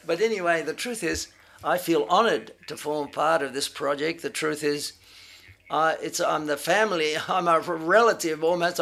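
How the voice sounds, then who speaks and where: A man interpreting live, delivering phrases in halting pieces as he renders other people's remarks. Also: fairly high pitch, vocal fry, fairly bright tone.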